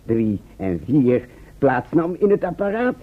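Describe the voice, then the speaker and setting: An actor in a Dutch radio play playing an eccentric, self-assured time traveller who explains patiently and checks that listeners follow. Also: male voice, medium pitch, sing-song, a little slow. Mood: storytelling. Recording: muffled, band-limited old radio recording.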